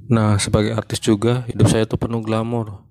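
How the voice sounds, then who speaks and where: A male cartoon monkey comedian, played by a man works the crowd with a low, level voice. His delivery stays flat and deadpan throughout. The sound is dry and close, with no room around it. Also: normal speed, dry recording, natural voice. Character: male monkey stand-up comic running for mayor. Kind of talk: stand-up comedy routine.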